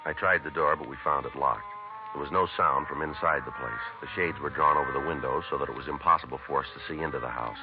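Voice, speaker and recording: An actor playing a terse police detective sergeant who narrates a 1950s radio crime drama in a flat, clipped, deadpan way. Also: male voice, rumbling low, fast, over music. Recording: lo-fi vintage radio broadcast with noise.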